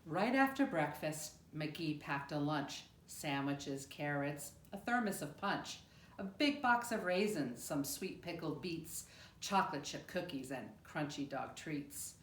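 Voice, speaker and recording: A woman reading a rhyming picture book aloud in an expressive, measured storytelling manner with deliberate pauses. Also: low-pitched. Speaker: storybook reader. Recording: roomy, echoing room sound.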